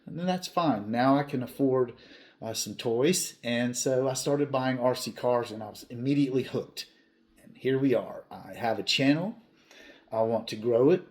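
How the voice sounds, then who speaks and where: A man in his fifties with a mid-pitched voice talks casually to his viewers as a hobby channel host. He is earnest and plain-spoken, and he repeats points for emphasis. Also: normal speed. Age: 50s.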